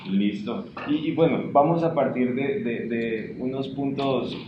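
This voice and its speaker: A man's voice: mid-range voice, a little slow. A man, politely and somewhat hesitantly hosting an informal interview. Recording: muffled, echoey, noisy room recording.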